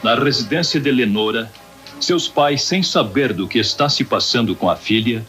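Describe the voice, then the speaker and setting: A man's voice: mid-range voice, expressive, normal speed, close to an everyday voice. A man narrating an old-time radio drama from a script, solemn and theatrical. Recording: radio narration over music bed.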